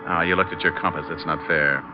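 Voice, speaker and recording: Low-voiced wisecracking radio detective played by a man. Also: fairly even intonation, quick pace, lightly acted. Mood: breezy. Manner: glib, wry, teasing. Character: wisecracking cocky male private detective, breezy and flirtatious. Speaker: lead actor and narrator. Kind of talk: 1940s radio detective acting and narration. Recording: muffled old radio drama, music behind.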